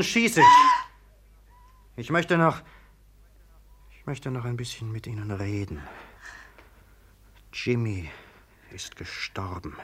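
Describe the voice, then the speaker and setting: An unhinged young murderer in an old German-language radio thriller. He speaks slowly in a husky, mid-pitched voice, halting and repeating himself, menacing yet oddly childlike. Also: fairly bright tone. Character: deranged vengeful young male killer, childlike and menacing. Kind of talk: 1950s German-language radio play acting.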